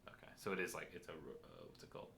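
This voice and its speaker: A man with a loud voice in casual, joking podcast chat, rambling and poking fun at himself with crude humor. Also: very animated, racing, vocal fry. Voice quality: loud, booming voice. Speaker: podcast host.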